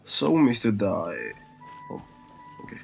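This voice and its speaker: A man's voice: fairly low pitch, wildly swooping pitch, slow pace, vocal fry, soft background music. A man hosting a let's play, giving casual, offhand reactions in short muttered remarks. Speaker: gaming video host.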